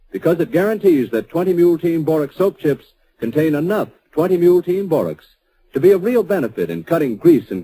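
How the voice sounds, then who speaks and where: A man's voice: fairly high pitch, dark tone, low-quality recording. Formal old-time radio announcer, man. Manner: formal, polished commercial read.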